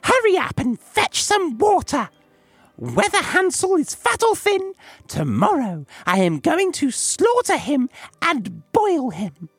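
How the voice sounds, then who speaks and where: Man putting on a shrill, scolding old witch. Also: huge pitch swings, slow pace, no room sound, full character voice. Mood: harsh, commanding. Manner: scolding, commanding. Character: cruel, greedy, impatient old witch woman.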